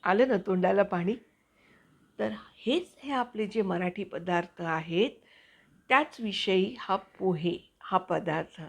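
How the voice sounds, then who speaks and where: A woman hosting her own channel, chatting to viewers in a casual, explanatory way with hesitant pauses as she finds her words. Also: medium pitch, creaky. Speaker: actor and video channel host.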